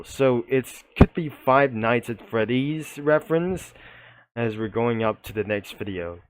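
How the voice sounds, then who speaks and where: A man's voice: medium pitch, a little hiss or hum, poor audio. A man giving unscripted video commentary in a rambling, roundabout way. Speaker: video creator presenting a comic studio.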